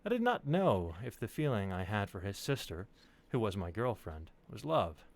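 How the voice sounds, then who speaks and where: Low-pitched, animated male story reader. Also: normal speed. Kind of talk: story read aloud, with casual asides.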